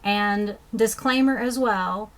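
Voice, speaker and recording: A woman from the States, teaching basic phrases as a beginning learner, pronouncing words slowly and deliberately. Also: mid-range voice. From southern United States. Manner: careful, deliberate pronunciation with fillers. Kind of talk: slow instructional explanation.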